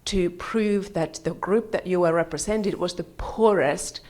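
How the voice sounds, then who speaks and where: Lower-voiced woman, conversational and hedging. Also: a little slow, a little mellow. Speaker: government gender advisor, audience speaker. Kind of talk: informal spoken reflection at a conference.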